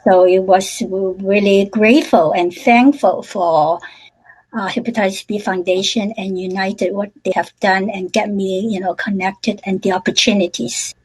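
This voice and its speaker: Woman, halting testimony. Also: medium pitch, steady tone, darkish tone, slightly rough, poor audio. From Hong Kong. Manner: halting, many fillers and restarts. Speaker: panel speaker sharing her story. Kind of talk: personal testimony on a webinar.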